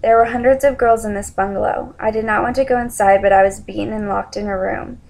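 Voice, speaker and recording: A young adult woman giving an informal spoken presentation in a somewhat hesitant, halting way. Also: medium pitch, steady tone, creaky, darkish tone, rough recording.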